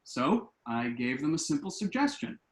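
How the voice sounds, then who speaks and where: A man's voice: fairly high pitch, sing-song, moderate pace. A man acting a self-assured, glib son who earnestly explains his schemes in a table-read play, recorded in an echoing room. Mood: smug, persuasive. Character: glib, enterprising grown son pitching shady business schemes. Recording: roomy, echoing home recording.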